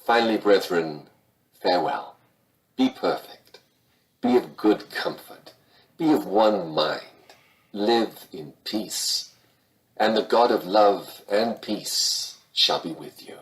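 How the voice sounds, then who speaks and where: Very deep-voiced man reading scripture. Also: lively intonation, poor audio. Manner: measured, formal delivery. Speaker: scripture reader.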